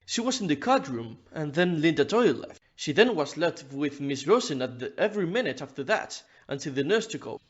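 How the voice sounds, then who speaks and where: Man playing a blunt, higher-voiced ship's captain. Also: sing-song, a little fast, few pauses, dull audio. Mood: puzzled, insistent. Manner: blunt, incredulous. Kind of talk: audio play acting.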